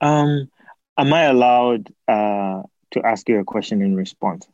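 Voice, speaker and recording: A man's voice: fairly low pitch, a little slow, darkish tone, no echo, dull audio. A young man from Zambia, an artist and PhD student, giving thoughtful interview answers with many fillers and restarts.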